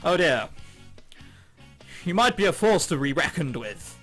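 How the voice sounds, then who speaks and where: A man hosts a visual novel playthrough in a high, very animated voice. His tone is bright and forceful, with jokey asides between the lines he reads. Soft game music runs behind him. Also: unhurried. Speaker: gaming video host. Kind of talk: reading a visual novel aloud with jokey commentary. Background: game background music.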